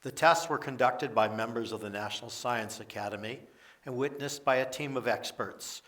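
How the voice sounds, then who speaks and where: A man's voice: medium pitch, normal speed, a bit bright, natural voice. A man in community radio theater playing a formal official who addresses a meeting in an orderly, announcing manner. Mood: businesslike, formal. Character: formal male official chairing a solemn meeting. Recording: distant mic with crowd sounds behind.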